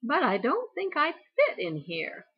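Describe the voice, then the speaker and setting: A woman voicing a mild, agreeable bear in a children's storybook, gently and deliberately. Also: high-pitched, wildly swooping pitch, slightly rough, dull audio, pitched above the performer's own voice, heavily acted.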